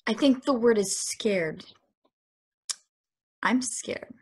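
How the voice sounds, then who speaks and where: An actress voicing a young woman who is uneasy and searching, speaking with hesitation and filler words in a husky voice on a video call table read. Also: on the high side, very slow, full character voice.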